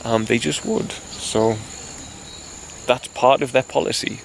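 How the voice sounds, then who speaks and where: Man, mid-pitched, preaching urgently to camera in a rambling way, with faint insect noise behind. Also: steady tone, slow pace, creaky. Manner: earnest, urgent, addresses listener directly as "you"; rambling, restarts mid-thought. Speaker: video blogger. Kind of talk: impassioned direct-to-camera monologue.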